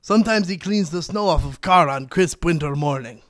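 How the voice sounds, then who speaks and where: A man's voice: a little high, normal speed, a bit bright, close to an everyday voice. A man playing a friendly, ordinary neighbour giving a testimonial in a comedy ad. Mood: sincere. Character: friendly, ordinary downstairs neighbour endorsing his buddy. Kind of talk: parody ad testimonial.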